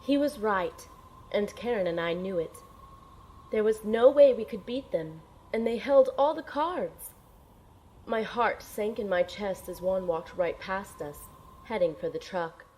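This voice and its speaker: A woman's voice: medium pitch, lively intonation, measured pace, darkish tone, lightly acted. Woman playing an earnest young heroine, noisy background. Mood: somber narration. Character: earnest young female agent telling her adventure. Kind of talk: dramatic first-person narration and audio drama acting. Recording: clear background noise.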